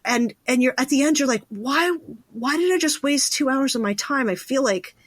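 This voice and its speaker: A woman's voice: high voice, normal speed, husky, dry recording, clean studio sound. A woman hosting a podcast in casual interview chat, with an informal, emphatic way of talking. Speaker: podcast host.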